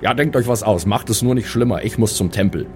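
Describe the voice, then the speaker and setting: A man role-plays at a game table in a deep, dark voice. His in-character talk is casual, dry and exasperated. Light music is heard behind him. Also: fast. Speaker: tabletop role-playing player.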